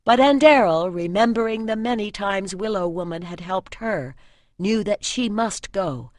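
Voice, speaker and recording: A woman reading scripted fantasy narration as an audiobook narrator, with measured storytelling. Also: medium pitch, expressive, darkish tone, no echo, clean studio sound.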